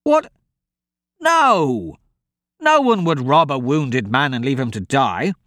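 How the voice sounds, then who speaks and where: A man's voice: on the high side, wildly swooping pitch, normal speed, no echo, studio quality, voice pushed up. A man voicing a good-natured, optimistic adventurer in a fantasy audiobook, earnest and persuasive. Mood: indignant.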